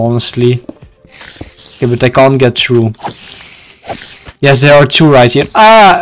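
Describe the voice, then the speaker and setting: A man's voice: medium pitch, rough recording. A young man from Belgium with a Dutch accent, casually commenting on a game for his live chat and often tripping over his words. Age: young adult. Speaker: video game livestreamer.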